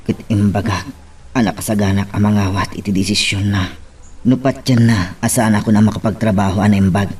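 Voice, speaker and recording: A man's voice: on the low side, steady tone, fairly quick, a little mellow, slightly rough. A man playing a troubled, resigned lover in an Ilocano audio drama. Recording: slightly distant from the mic.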